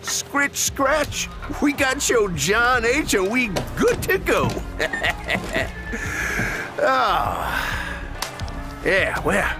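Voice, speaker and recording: A man's voice: very high voice, big pitch swings, drawn-out words, pauses often, over music, heavily acted. An actor playing a flamboyant, food-loving wasteland race promoter in a video game, with a folksy, drawling showman's patter. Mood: gleeful, sly. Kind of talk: theatrical video game character acting.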